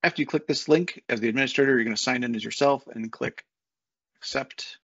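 A man in his thirties walking through a software setup on a screen share, talking casually step by step with fillers, recorded on a desk mic at home. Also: mid-range voice, brisk, slightly rough, no echo. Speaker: software consultant. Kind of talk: screen-share tutorial walkthrough. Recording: dull lo-fi dry sound.

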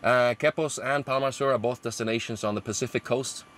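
A man in his forties, an airline captain, casually explaining his career and routes to a camera in a small plane's cockpit, pausing often with fillers. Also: mid-range voice, a little hiss or hum. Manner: relaxed, explanatory, with frequent hesitations. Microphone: camera close in front of him.

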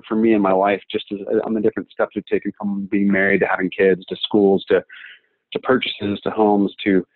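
Fast-talking man on a dull call. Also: on the low side, dry recording. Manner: rambling, thinking aloud. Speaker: class participant. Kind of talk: casual reflective discussion. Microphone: video call. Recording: dull, lo-fi video call audio.

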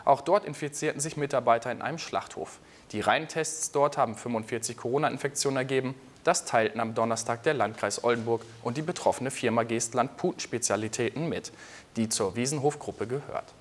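A man's voice: mid-range voice, fairly quick. A young man presenting scripted local news in a studio. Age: young adult. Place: indoor TV studio.

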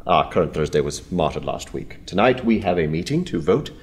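An actor playing a boastful, fervent anarchist poet in a radio drama, theatrical and swinging from hushed to excited. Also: male voice, sits low, a little fast, a little hiss or hum. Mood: grave, secretive. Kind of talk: full-cast radio drama acting.

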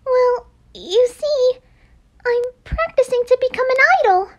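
Woman voicing a high, excitable shy anime girl. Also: measured pace. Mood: nervous. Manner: stammering, excitable, gushing. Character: shy, starstruck teenage girl dreaming of idol stardom. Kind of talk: amateur anime game voice acting.

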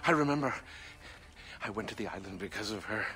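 A man's voice: lowish voice, animated, a little fast, bright, forceful tone, husky, full character voice. An actor playing a drunk, bewildered young Roman man with a slurred, rambling way of talking. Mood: panicked.

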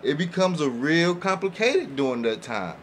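A man's voice: fairly high pitch, big pitch swings, moderate pace, a bit bright. Man chatting casually over room noise. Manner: colloquial, often checking in with listeners. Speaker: podcast panelist. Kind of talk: casual panel chat. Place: small indoor podcast room. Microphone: boom-arm studio microphone.

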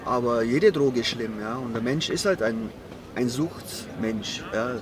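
A man's voice: mid-range voice, a little slow, over music. A middle-aged man giving his opinion casually in a street interview. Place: outdoors on a city square. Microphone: handheld interviewer's mic. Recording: faint outdoor city traffic noise.

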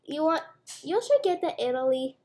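A woman's voice: high voice, sing-song, moderate pace, darkish tone. A young girl chatting playfully as she plays a restaurant game at home, talking through each move. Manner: playful, chatty, narrating her own moves. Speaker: gamer. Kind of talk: casual gameplay chat. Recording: faint background noise with mouse clicks.

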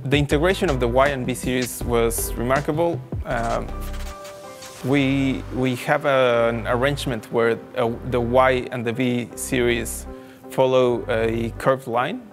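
A man in his thirties giving an explanatory interview in measured, deliberate phrases. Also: medium pitch, steady tone, faint music behind. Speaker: audio consultant. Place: indoor carpeted function room.

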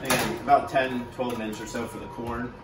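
A man in his thirties giving a relaxed, instructive cooking demo in a home kitchen, with plenty of ums and uhs. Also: mid-range voice, brisk, heavy background noise. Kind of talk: casual cooking demonstration. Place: large home kitchen, indoors. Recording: echoey kitchen room sound.

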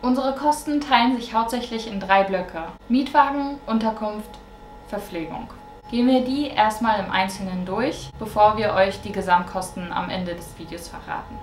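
A woman's voice: mid-range voice, moderate pace, reverberant, a little hiss or hum. Young woman listing trip expenses plainly. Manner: plain, listing items one by one. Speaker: travel vlogger. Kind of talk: scripted cost breakdown to camera. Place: bedroom with mosquito net, indoors.

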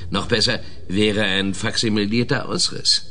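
A man's voice: lowish voice, fairly even intonation, measured pace, bright, forceful tone. A man playing a methodical investigator in a German radio play, hesitant at first, then explaining pedantically. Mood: pedantic, eager. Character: methodical male investigator, specialist in traps.

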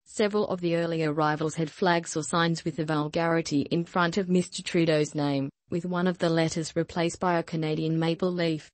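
Flat, low-voiced woman reading news. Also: a little fast, hardly any pauses, no room sound. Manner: even, flat newsreader delivery. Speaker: news reader. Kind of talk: scripted news narration.

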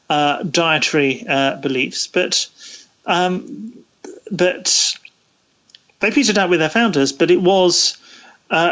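A man being interviewed, explaining history conversationally in a hesitant, halting way with frequent pauses mid-phrase. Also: on the high side, a bit bright, highs cut off. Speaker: guest, interviewee.

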